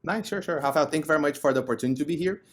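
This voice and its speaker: A man's voice: fairly high pitch, very fast. A man from Brazil with a Brazilian accent, explaining his business conversationally as an interview guest. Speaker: podcast guest, company co-founder.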